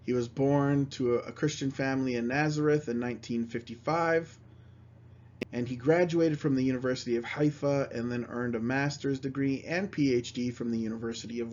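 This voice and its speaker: A man's voice: mid-range voice, moderate pace, darkish tone. A man lecturing on history, reading passages aloud and then explaining them in plain words. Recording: slightly dull, lo-fi recording.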